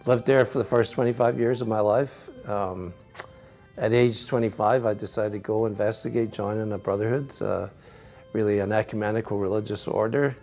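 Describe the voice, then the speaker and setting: A middle-aged Scottish man, a priest, answering interview questions in a gentle, reflective way with frequent soft laughs. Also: on the low side, steady tone, moderate pace, faint music behind. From Scotland.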